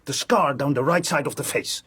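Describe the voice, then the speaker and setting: An actor playing an indignant, officious foreign spymaster with a raspy voice in a radio drama. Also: male voice, on the high side, normal speed, fairly bright tone. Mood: cold, urgent.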